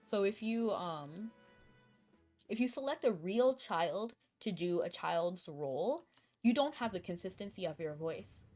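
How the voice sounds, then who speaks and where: A woman streaming a video game, chatting casually and thinking out loud as she plays, with a chuckle here and there. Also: medium pitch, lively intonation, a little slow. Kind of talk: casual live-stream chat while gaming. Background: faint game music.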